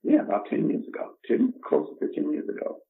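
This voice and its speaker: A man's voice: fairly high pitch, very animated, fairly quick, creaky. A man, talking casually and motivationally as a podcast guest, emphatic and given to acting out dialogue. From the Bronx, New York, United States. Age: 50s. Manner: emphatic, acts out imagined dialogue. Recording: dull, muffled lo-fi recording.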